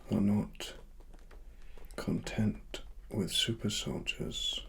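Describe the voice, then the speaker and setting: A British man performing a softly spoken ASMR roleplay as a grave cyberpunk investigator, breathy and partly whispered, with deliberate pauses. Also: fairly low pitch, wildly swooping pitch, slight background noise. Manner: slow, deliberate, hushed, with long pauses. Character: grave cyberpunk investigator uncovering corporate wrongdoing.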